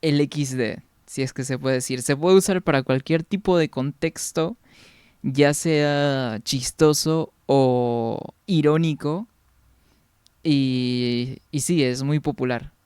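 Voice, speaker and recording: A young man from Peru chatting loosely with his stream viewers, drifting from point to point and answering their comments. His voice is smooth and clean, sitting in the middle of the male range. The sound is close and dry, with no room to it. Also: mid-range voice, slow, darkish tone, dry recording. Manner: rambling, reads viewer comments aloud and answers them. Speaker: game streamer. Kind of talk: casual chat with viewers during a game stream.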